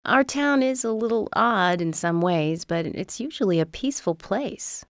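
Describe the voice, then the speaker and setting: A woman's voice: medium pitch, expressive, normal speed, no echo, clean studio sound, close to an everyday voice. A woman playing a practical, composed small-town policewoman in a video game, speaking in a polite, conversational way. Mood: calm, curious. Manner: polite, conversational, plain-spoken. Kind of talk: video game cutscene acting.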